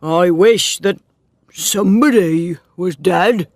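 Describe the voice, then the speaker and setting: A man voicing a village laborer who speaks gently and confidingly, as if sharing gossip. He talks slowly in a voice a bit high for a man. The recording is dry and close. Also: no echo. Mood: grim. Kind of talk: audiobook dialogue reading.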